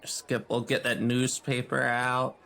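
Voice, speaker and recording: A man's voice: medium pitch, flat delivery, slow, creaky, bright, forceful tone. A man with a British accent chatting casually as a player in a tabletop game.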